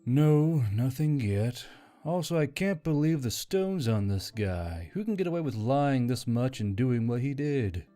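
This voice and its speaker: A man voicing a dry, measured sentient magical object in a fantasy story. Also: medium pitch, animated, mellow, close to an everyday voice. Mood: dry, indignant. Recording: background music under the voice.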